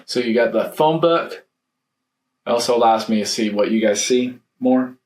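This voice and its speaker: A man giving a casual, hands-on product review, thinking aloud in a halting way. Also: medium pitch, darkish tone. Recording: roomy echo, faint background noise.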